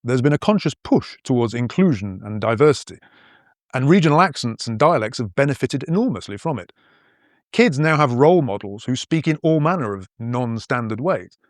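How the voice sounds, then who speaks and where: A man from northern England whose accent is mostly posh with a few northern vowels left, telling an educational podcast in a playful, explanatory manner. His pitch swings freely as he talks, and the recording is close and dry with no room sound. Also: normal speed, dry recording. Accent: English, mostly posh with northern traces. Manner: playful, explanatory, lively storytelling. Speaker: podcast host and language teacher. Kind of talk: scripted educational podcast narration.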